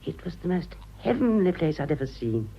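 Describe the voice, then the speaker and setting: A woman's voice: low-pitched, very animated, brisk, gravelly, husky, lo-fi sound, exaggerated voice. An actress playing a vain, sentimental middle-aged society lady in a British radio drama, with a British accent and a gushing, aristocratic manner. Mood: wistful.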